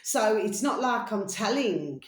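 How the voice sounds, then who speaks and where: A tired woman video blogger chatting casually and ramblingly to her viewers, pausing often and repeating pet endearments. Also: mid-range voice, lively intonation. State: mentally tired, drained. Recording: echoey, roomy sound.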